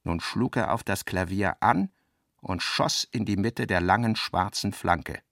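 Guy narrating a literary radio drama, creaky voice, very dry studio sound, measured delivery. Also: deep voice, fairly bright tone, dry recording. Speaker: narrator, reader of literary text and notes.

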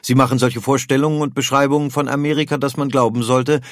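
A man's voice: mid-range voice, level tone, fast talker, no room sound, clean studio sound. A man reading scripted historical documentary material as a narrator.

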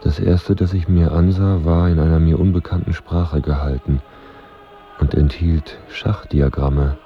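Very deep, solemn knight. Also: male voice, lo-fi sound, faint music behind. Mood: sombre, detached. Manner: measured, solemn, deadpan. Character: grave, laconic wandering knight recounting the ruins of war. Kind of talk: literary radio drama narration.

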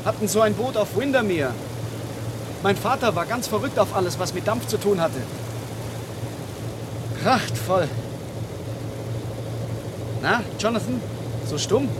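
An actor in a German radio drama, playing a hearty, determined English gentleman on an adventure. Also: sits high, animated, a little slow, long pauses. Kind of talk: German radio play acting. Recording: noisy engine-like sound-effect bed.

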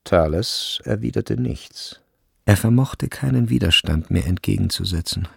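A man with a husky, gravelly voice narrating a literary radio drama from a script. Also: lowish voice, measured pace, dry recording, clean studio sound. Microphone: close, dry studio recording.

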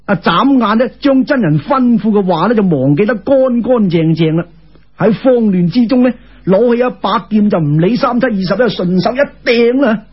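Husky, high-pitched Cantonese male storyteller. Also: expressive, lo-fi sound. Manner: theatrical, colloquial, with drawn-out particles and exclamations. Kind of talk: traditional Cantonese oral storytelling of a folk tale.

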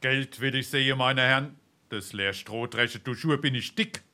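A man playing a gruff, demanding tavern creditor in a Darmstadt Hessian dialect stage comedy. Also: mid-range voice, moderate pace. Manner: forceful, demanding.

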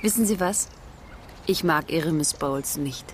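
A woman's voice: deep voice, unhurried, faint music behind. Prim, piqued young woman. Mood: piqued, cool. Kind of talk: radio drama acting.

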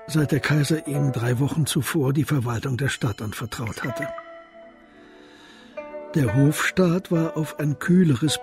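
A man narrating a scripted crime story for a radio drama. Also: mid-range voice.

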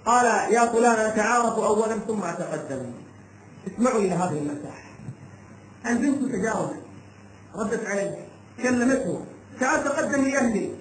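A man's voice: high-pitched, lively intonation, brisk, pauses often. Echoey recording, faint noise, man, preacher, impassioned storytelling sermon, emphatic repeated phrases. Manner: emphatic short phrases, repetition for dramatic effect. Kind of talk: impassioned sermon, telling a cautionary tale. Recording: echoey room sound with light background noise.

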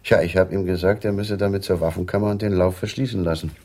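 An actor playing a conscientious police officer reporting in a matter-of-fact way in a radio drama. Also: male voice, sits low, fairly even intonation, fast talker, darkish tone. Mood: earnest, deferential.

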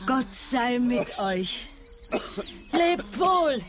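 An actress playing a resolute, grateful frontier mother in a German western radio drama, calling out in drawn-out words. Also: high-pitched, animated, background noise, full character voice. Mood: grateful, solemn. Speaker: actor in a radio play.

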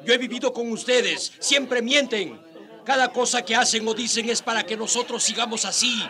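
Defiant, high-voiced enslaved native man. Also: normal speed, pushed and bright, full character voice. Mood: defiant, bitter. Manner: defiant, bitter. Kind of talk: radio drama acting.

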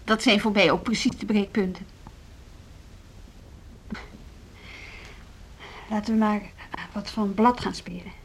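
An actress in a Dutch radio play playing a strong-willed, principled music school deputy, articulate and pointed, with a Netherlands accent. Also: female voice, mid-range voice, fairly even intonation, a little fast, a little hiss or hum, lightly acted. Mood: subdued. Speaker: radio drama actor.

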